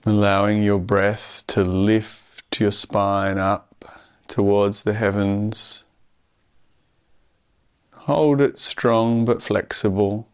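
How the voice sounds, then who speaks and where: Calm guy doing guided meditation, smooth clear voice, barely moves in pitch, lots of long pauses.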